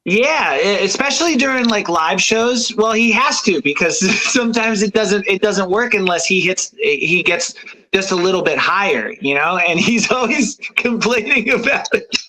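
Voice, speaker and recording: A man with an unusually high, bright voice talks casually as a guest. He rambles through fillers and restarts and laughs easily. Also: expressive, moderate pace, rough recording. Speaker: rock band front man, guest. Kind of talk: casual radio chat.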